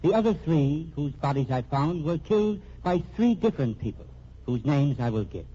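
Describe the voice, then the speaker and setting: An actor playing an earnest accused man dictating a formal, deliberate statement in a vintage radio mystery, husky-voiced. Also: on the high side, animated, warm tone. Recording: muffled old radio recording.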